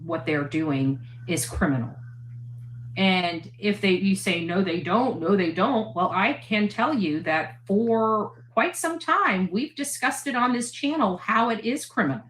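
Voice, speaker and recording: A woman's voice: mid-range voice, lively intonation, measured pace, a bit bright, a little hiss or hum, poor audio. A woman speaking in a casual vlog-style monologue as a livestreamer. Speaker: livestreamer heard in played clips.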